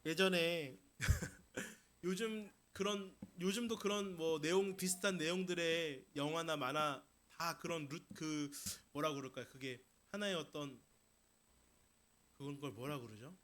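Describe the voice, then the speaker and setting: High-pitched bright male preacher. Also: a little slow. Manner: emphatic, rising into forceful declarations then easing into explanation. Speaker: pastor preaching. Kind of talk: Sunday sermon with storytelling and exhortation.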